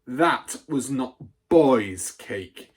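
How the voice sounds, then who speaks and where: A storybook reader voicing a huge, cruel, tyrannical headmistress who barks and booms insults. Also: expressive, takes it slowly, a little mellow, slightly rough. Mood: furious, bellowing. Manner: barking, booming insults. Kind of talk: storybook read aloud.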